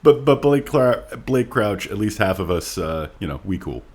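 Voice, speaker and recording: A man co-hosting a podcast, talking casually about books with joking, deadpan asides. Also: lowish voice, moderate pace. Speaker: podcast host.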